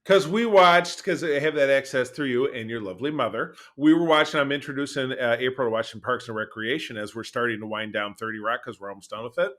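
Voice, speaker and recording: A man co-hosting a podcast in casual, opinionated chat, animated and emphatic, often repeating his phrases. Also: medium pitch, fast talker, hardly any pauses. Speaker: podcast co-host.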